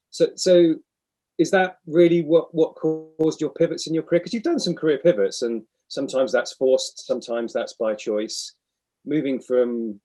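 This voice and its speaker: Man, podcast co-host, mellow warm tone, curious, measured. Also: mid-range voice. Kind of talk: interview question.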